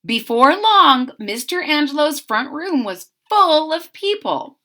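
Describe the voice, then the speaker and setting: A woman reading a children's picture book aloud, expressive, adding chatty asides for young listeners. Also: high voice, takes it slowly. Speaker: storybook reader.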